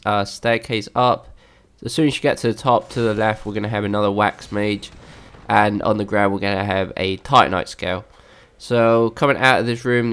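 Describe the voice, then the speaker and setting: A man's voice: fairly low pitch, a bit bright, clear, resonant tone. A young man with a slightly worn voice, casually narrating a game walkthrough with many fillers and restarts. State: slightly lost voice.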